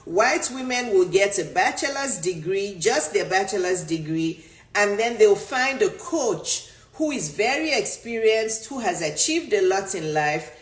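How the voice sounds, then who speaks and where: A middle-aged woman business coach from Nigeria, a native Yoruba speaker, giving an emphatic motivational talk in which she repeats her points for stress. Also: mid-range voice. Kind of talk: motivational talk answering a viewer. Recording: roomy echo.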